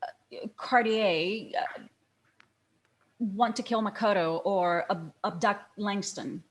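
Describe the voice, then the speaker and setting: A woman acting a police detective in a screenplay table read, questioning in a tentative, halting way. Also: medium pitch. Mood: probing.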